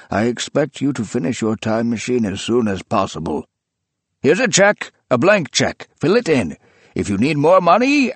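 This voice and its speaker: A man's voice: medium pitch, husky, no echo. A man putting on a fierce feverish old tycoon. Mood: commanding. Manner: fierce, rapturous and commanding. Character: feverish, imperious old male book collector.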